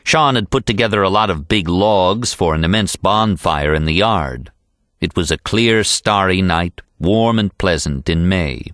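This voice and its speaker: A man reading an audiobook in an expressive, animated storytelling style. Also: low-pitched, a little slow, dry recording, clean studio sound. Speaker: audiobook narrator. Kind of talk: expressive scripted audiobook narration.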